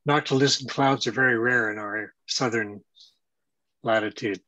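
A man's voice: medium pitch, steady tone, moderate pace, sharp tone, no room sound, lo-fi sound. A man giving casual commentary on shared images at an online astronomy meeting, speaking over a video call. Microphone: video call microphone.

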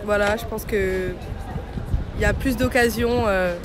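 A man's voice: sits high, fairly even intonation, measured pace, music in the background, crowd noise. A man giving casual, hesitant answers in a street interview, searching for words. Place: city street, outdoors.

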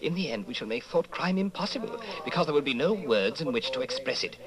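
An actor playing a zealous, smug party intellectual who lectures with enthusiasm in an old-time radio style drama. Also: male voice, medium pitch, expressive, fast talker, crowd noise, lightly acted.

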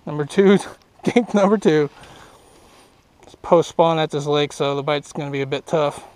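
A man's voice: a little high, normal speed, a little hiss or hum. Upbeat young man fishing from a boat. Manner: easygoing, upbeat, excited over catches. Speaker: fishing vlogger. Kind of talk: casual fishing commentary. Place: small boat on a lake outdoors. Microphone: action camera on the boat.

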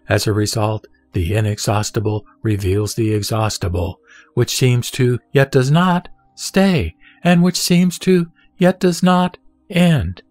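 A man giving a measured, solemn reading of a Buddhist scripture. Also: mid-range voice, no echo. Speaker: sutra reciter. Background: soft background music.

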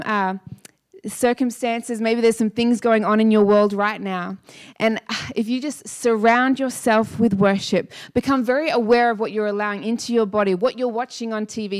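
A woman in her thirties preaching a sermon on a church stage into a handheld mic, energetic and emphatic, repeating key lines. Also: a little high, smooth. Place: church stage with dark blue lighting. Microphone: handheld microphone.

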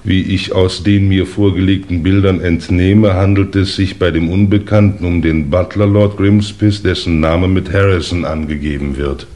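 An actor in a German crime radio play, playing a dutiful inspector reading a report in a formal, official manner. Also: male voice, sits low, fairly even intonation, moderate pace, hardly any pauses, warm tone, natural voice.

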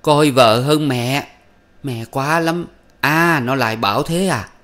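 A man's voice: medium pitch, lively intonation, unhurried, pitched above the performer's own voice, lightly acted. A man voicing a furious, domineering older mother-in-law who scolds and wails melodramatically. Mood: raging, wailing. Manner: scolding, wailing, self-pitying.

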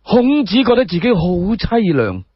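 Unhurried husky Cantonese storyteller, a man. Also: high-pitched, big pitch swings, no echo, lo-fi sound. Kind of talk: dramatic one-man radio storytelling narration.

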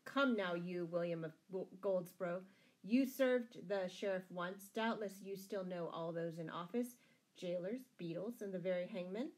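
A woman's voice: fairly low pitch, normal speed, darkish tone, lightly acted, low-quality recording. A woman voicing a bold, courteous male outlaw leader in a storybook, with an emphatic, commanding manner.

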